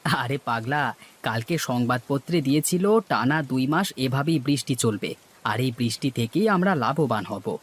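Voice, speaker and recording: A man's voice: mid-range voice, lively intonation, normal speed, husky. Man playing a bossy, scheming cartoon village trader. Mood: scheming, eager. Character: scheming, cocky male village market trader. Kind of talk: cartoon dialogue.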